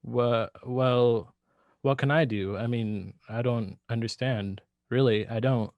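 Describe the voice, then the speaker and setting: Man playing a slow, hesitant, weak-willed husband. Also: mid-range voice, fairly even intonation, dry recording, lo-fi sound. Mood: frightened, confused. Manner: hesitant, halting, repeats words. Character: weak, bewildered henpecked husband turning to murder. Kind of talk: radio drama acting.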